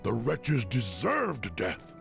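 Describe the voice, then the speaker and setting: A man's voice: medium pitch, wildly swooping pitch, takes it slowly, gravelly, over music, heavily acted. A man voicing a brutal, troubled veteran orc warrior in a video game, growling and brooding. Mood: scornful. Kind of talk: video game cinematic acting.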